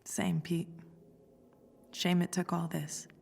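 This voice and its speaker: An actress playing a worried but resolute young biochemist in a video game, candid and casual. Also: female voice, on the low side, little pitch movement, slow pace, gravelly, a little mellow, slightly rough, in a large hall, exaggerated voice. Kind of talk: naturalistic video game voice acting.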